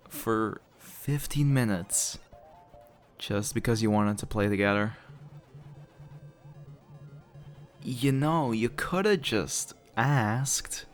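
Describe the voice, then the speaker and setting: Man acting deep-voiced teasing young guy. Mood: incredulous, amused. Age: young adult. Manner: teasing, playful, halting and mock-polite. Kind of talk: intimate audio roleplay, casual conversational acting.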